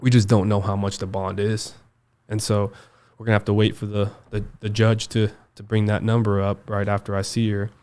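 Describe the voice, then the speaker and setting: The guest across the table on a long-form podcast, a young American guy with a lowish voice, reliving his own past in emphatic, stop-and-start fashion. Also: little pitch movement, a little mellow, clear, resonant tone. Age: 20s. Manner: halting, emphatic, repeats himself, says dude and man often. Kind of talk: personal storytelling in a casual interview.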